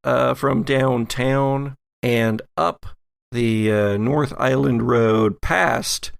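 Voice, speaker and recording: Slow, gravelly male game master. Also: medium pitch, steady tone, no echo, studio quality. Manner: deliberate, cinematic scene-setting in short phrases. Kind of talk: descriptive improvised narration for audio-drama tabletop game.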